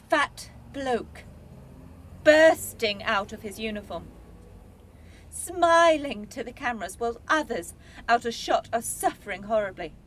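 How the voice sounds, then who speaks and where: High-voiced, bitter, defensive young woman. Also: a bit bright, slight background noise. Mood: bitter, sardonic. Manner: sarcastic, combative. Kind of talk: radio play acting.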